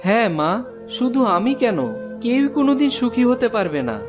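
A man's voice: very high voice, sing-song, moderate pace, music in the background. A man voicing a repentant grown son in a cartoon, pleading and remorseful. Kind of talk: cartoon voice acting.

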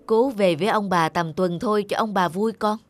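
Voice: medium pitch, normal speed, natural voice. Middle-aged father voiced by an actor, earnest and urging, cartoon drama, music behind. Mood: pleading. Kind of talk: animated film dialogue. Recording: music under the dialogue.

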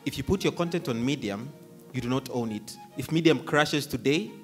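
A man's voice: mid-range voice, normal speed, faint music behind. Male panelist, 30s, handheld mic, confident and advisory. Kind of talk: panel answer.

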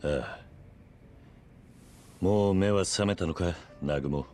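An actor playing a stoic middle-aged yakuza veteran in a video game, terse, measured and calmly commanding. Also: male voice, sits low, slight background noise. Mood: grave, resolute. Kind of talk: Japanese video game voice acting.

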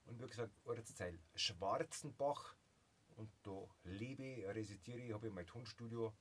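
A man's voice: lowish voice, unhurried, fairly bright tone, husky. Jovial Bavarian man, laughing often. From Bavaria, Germany. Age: around 50. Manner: jovial, laughs often, many fillers and restarts. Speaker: musician guest. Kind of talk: casual interview chat. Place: small home recording studio, indoors.

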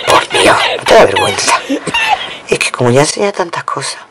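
A woman's voice: fairly low pitch, wildly swooping pitch, fairly quick. A woman from Spain casually showing a craft-supply haul at her table, chatting to viewers and counting items aloud. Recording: noisy home recording with crinkling packets.

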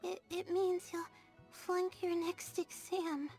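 Very high-pitched, anxious student girl. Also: little pitch movement, slow pace. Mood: eager, spooky storytelling. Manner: hesitant, stammering, self-doubting. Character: anxious, sleep-deprived young female student, sweet and timid. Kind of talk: video game character dialogue. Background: soft game music.

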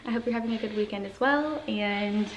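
A woman's voice: medium pitch, a little fast. Echoey, chatty American woman vlogger. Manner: informal, peppered with "like". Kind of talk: casual chat to camera. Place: indoors, large high-ceilinged house room. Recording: echoey room, faint noise.

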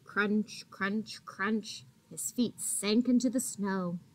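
A woman in her thirties, a teacher reading a picture book aloud to young children in a deliberate, expressive way with drawn-out words. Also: medium pitch, a little hiss or hum. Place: small indoor classroom. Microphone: laptop or webcam at arm's length.

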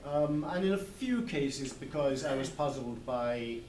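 A man's voice: medium pitch, animated, unhurried, a little mellow. Older man in an echoey room, thoughtful. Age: 60s. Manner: thoughtful, hesitant, searching for words. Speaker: literary translator. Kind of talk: informal discussion at a book club. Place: large indoor hall, small group seated in circle. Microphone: camera a few metres away. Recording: echoey, distant camera mic, background noise.

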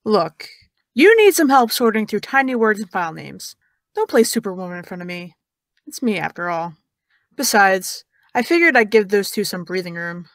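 A woman's voice: medium pitch, sing-song, moderate pace, dry recording, studio quality. A young actress playing a sarcastic young woman who teases and needles in an audio drama. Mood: smug. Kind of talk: audio drama dialogue of a video call.

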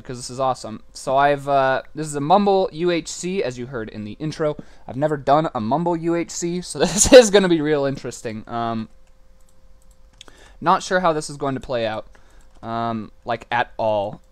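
A man's voice: medium pitch, clean tone, no room sound. A young man talking over a Minecraft game he is playing, a bit sick with a scratchy throat, rambling with lots of fillers. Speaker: gaming channel host. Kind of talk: casual Minecraft commentary.

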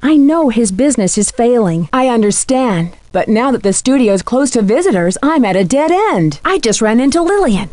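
High-voiced earnest young detective. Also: female voice, sing-song, normal speed, hardly any pauses, darkish tone, dry recording. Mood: friendly, earnest. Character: earnest, sharp young female amateur detective. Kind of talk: video game voice acting.

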